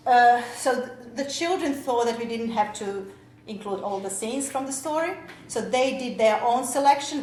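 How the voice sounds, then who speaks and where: A woman's voice: mid-range voice, darkish tone. A woman teacher, presenting at a workshop with many fillers and restarts. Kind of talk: conference presentation. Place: lecture room. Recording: echoey lecture room, faint noise.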